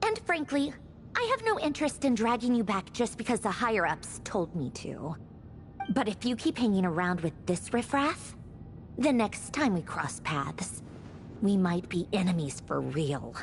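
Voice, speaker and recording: A woman playing a haughty, sarcastic, sharp-tongued young female villain inventor in an anime-style video game. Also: medium pitch, big pitch swings, moderate pace. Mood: cool, warning. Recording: dramatic music under the voice.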